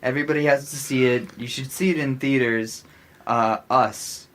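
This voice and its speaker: An American man co-hosting a podcast, talking casually with gushing emphasis and halting restarts. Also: mid-range voice, fairly even intonation, fairly bright tone. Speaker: podcast co-host. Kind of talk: casual chat.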